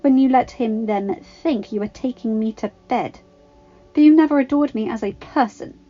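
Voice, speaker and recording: A woman's voice: on the high side, moderate pace, muffled recording, faint music behind, close to an everyday voice. A woman voicing a proud young female senator in an audio story, formal, firm and icy. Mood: stern, cold. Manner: stern, formal.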